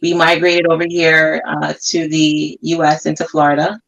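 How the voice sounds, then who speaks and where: A woman's voice: sits low, normal speed, gravelly. A woman from Canada, a guest business owner, chatting casually about her company with plenty of fillers, heard over a distant remote mic. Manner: relaxed and chatty, many fillers. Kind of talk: casual chat.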